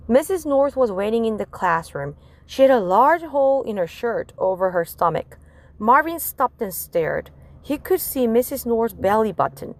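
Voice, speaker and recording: A woman narrating a children's chapter book as a read-aloud. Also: on the high side. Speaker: storybook reader.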